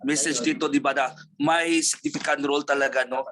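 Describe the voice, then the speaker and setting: Brief: Filipino man, fairly high voice, rambling reporter comment. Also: steady tone, fast talker, fairly bright tone, a little hiss or hum. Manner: rambling, mixing Tagalog and English. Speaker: reporter asking questions.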